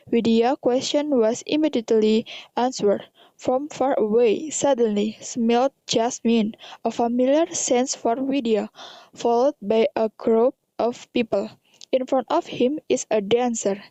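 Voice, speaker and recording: A woman narrates a radio drama in a fairly high, soft voice with an Indonesian accent. She reads the scripted English carefully. The recording is dull and lo-fi. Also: dry recording. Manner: careful non-native English reading.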